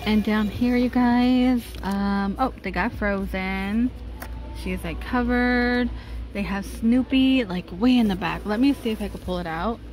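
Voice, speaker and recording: A woman's voice: mid-range voice, unhurried, a little mellow, soft background music. A woman vlogger giving a chatty shopping walkthrough, laughing often, filmed on a handheld phone in a large store. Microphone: handheld phone camera. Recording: noisy store background.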